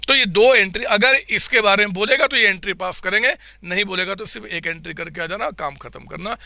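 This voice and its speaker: A man teaching accounts in a live online class, with a slightly hoarse throat, quizzing his students and answering for them in a coaxing way. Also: sits high, fairly quick, bright, forceful tone, no room sound.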